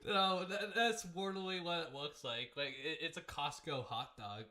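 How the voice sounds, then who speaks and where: A man's voice: fairly high pitch, moderate pace, bright, forceful tone. A man of twenty in a casual voice chat, stammering and restarting his words. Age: 20s.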